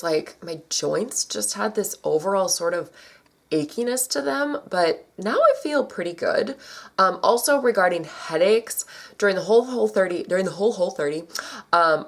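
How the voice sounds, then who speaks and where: A young woman vlogger chatting casually to her camera, her voice a little scratchy from allergies, with lots of fillers and sudden jumps in pitch. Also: creaky.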